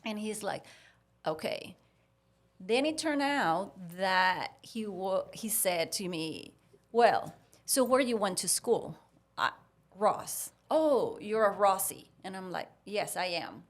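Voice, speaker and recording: Female podcast guest, native Spanish speaker with Puerto Rican accent, medium pitch, conversational storyteller who voices both sides of exchanges. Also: slow pace. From Puerto Rico. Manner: animated retelling with acted-out dialogue.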